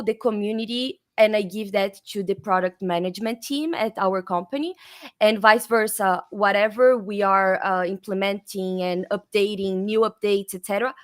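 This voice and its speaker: A woman from Brazil with a Brazilian accent, a guest chatting casually in a video-call interview with many fillers and restarts. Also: medium pitch. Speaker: guest, developer advocate.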